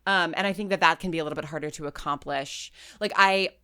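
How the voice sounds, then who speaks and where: A woman talking casually as an interview guest, animated and often restarting her phrases as she explains her creative work. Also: low voice, quick pace, clean tone, dry recording, studio quality. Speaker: guest, audio fiction writer and creator.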